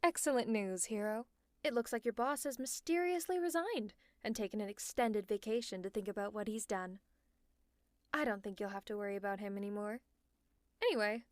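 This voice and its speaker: A woman's voice: mid-range voice, no room sound, clean studio sound, close to an everyday voice. A woman playing a protective, teasing female villain in a scripted ASMR roleplay, with a sardonic, exasperated but caring manner. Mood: smugly pleased. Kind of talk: scripted roleplay ASMR, one-sided dialogue.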